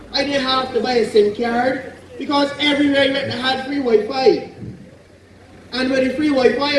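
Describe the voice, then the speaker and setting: A Barbadian man speaks to a rally crowd in a very high voice, impassioned and folksy. His words ring out with echo as though heard from a distance. A faint hum of noise sits underneath. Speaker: political candidate. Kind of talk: outdoor political rally speech. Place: outdoor political meeting. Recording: echoey, distant rally sound.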